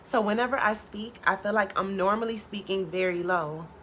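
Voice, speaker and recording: A woman who is a tarot reader, hosting a casual live stream and chatting with viewers in a soft, low voice, with lots of "like" and casual swearing. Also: moderate pace, slight background noise. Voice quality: low natural voice.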